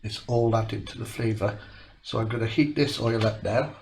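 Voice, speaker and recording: A man's voice: on the low side, steady tone, normal speed, vocal fry, a little mellow, roomy sound, slight background noise. A man from South Wales with a Welsh accent, stuffy and blocked-nosed from a cold, chatting casually while cooking, his voice rising at the ends of sentences. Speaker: home cooking channel host. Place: home kitchen.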